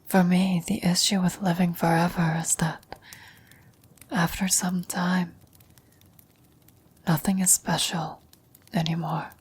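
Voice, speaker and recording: A woman playing a frail, dying old woman in a whispered ASMR roleplay, gentle, wistful and philosophical, speaking slowly and haltingly. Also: lowish voice, exaggerated voice. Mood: gently weary. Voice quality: breathy whisper. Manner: slow, halting, reflective delivery with long pauses.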